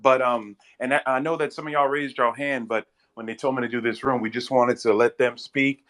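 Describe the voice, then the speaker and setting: Man with a bright, casual voice. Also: medium pitch, a little fast. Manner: loose and relaxed, with many fillers. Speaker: room host. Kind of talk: casual wrap-up of an online room.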